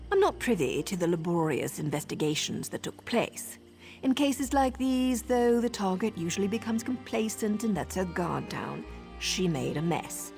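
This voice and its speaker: An actress playing a poised professional assassin and mentor in a video game, with a wry, measured, self-assured delivery. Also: female voice, mid-range voice, big pitch swings, gravelly, darkish tone, faint music behind. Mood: cool, explaining.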